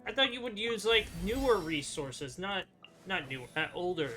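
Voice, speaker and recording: A young man giving casual gameplay commentary, muttering to himself in halting, half-finished thoughts. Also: high-pitched, sing-song, sharp tone. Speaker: gamer playing on a stream or recording. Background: game music.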